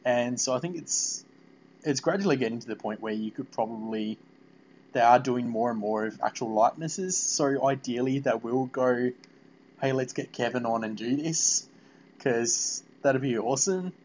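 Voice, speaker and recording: A man hosting a casual interview about video games, talking in an informal, halting, rambling way. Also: mid-range voice, darkish tone. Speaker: podcast interviewer. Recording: muffled, noisy lo-fi call audio.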